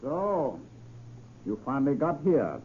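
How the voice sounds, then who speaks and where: An actor playing a cunning, curt German admiral and spy villain in a 1940s radio drama. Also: male voice, mid-range voice, very animated, slow, dark tone, a little hiss or hum. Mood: coldly menacing. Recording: tinny, muffled vintage radio broadcast.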